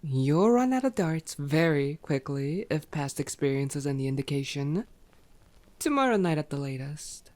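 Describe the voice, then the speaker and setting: A woman's voice: low-pitched, lively intonation, moderate pace, a little mellow. A woman playing a sardonic, teasing vampire in an ASMR roleplay, speaking with exasperated sarcasm and casual swearing. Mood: teasing, sarcastic. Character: sardonic, teasing female vampire, wounded and grumbling.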